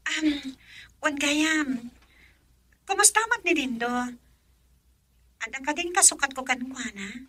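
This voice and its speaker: Isang babaeng gumaganap bilang anak na dalagang nasasaktan sa pag-ibig, na may punto ng Ilocano, sa isang radio drama. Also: female voice, sits high, expressive, slow pace, pauses often, full character voice. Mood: emotional. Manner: emotional, shifts from soft sighs to loud pleading. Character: young woman torn over love, daughter.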